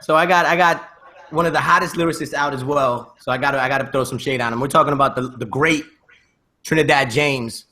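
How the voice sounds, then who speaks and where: A man's voice: medium pitch, fairly even intonation, a little fast, bright, forceful tone. A man bantering casually on a podcast, reciting things in a punchy, theatrical way. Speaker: podcast host.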